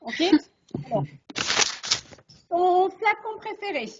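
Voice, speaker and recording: High, very lively woman interviewer. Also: slow pace. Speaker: host, interviewer. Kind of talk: short interview prompts and questions. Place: online video call. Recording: dull lo-fi webinar audio.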